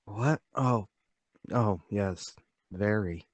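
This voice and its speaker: A man playing a troubled, forgetful older gentleman in a radio mystery drama, speaking politely and haltingly. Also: on the low side, no echo. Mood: subdued, grave. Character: troubled, forgetful, soft-spoken older gentleman, haunted and deliberate.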